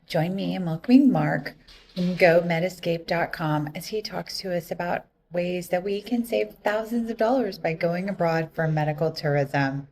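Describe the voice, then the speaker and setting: A woman's voice: fairly low pitch, normal speed, a little mellow. Upbeat woman hosting. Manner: upbeat, laughs often. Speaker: podcast host. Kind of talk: casual interview hosting.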